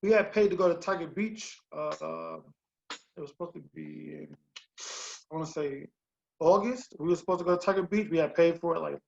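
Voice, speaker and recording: A man talking as a guest in a relaxed interview over a video call. He speaks in a chatty way and keeps dropping in "you know" and "bro". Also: a little high, normal speed, gravelly, lo-fi sound. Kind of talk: casual storytelling chat.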